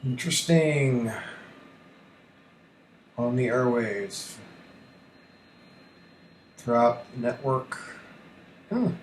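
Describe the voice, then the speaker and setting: A man thinking aloud through a casual screen tutorial as a hobby radio host, halting and muttering to himself as he works. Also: medium pitch. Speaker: amateur radio YouTuber, host. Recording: echoey room with faint background noise.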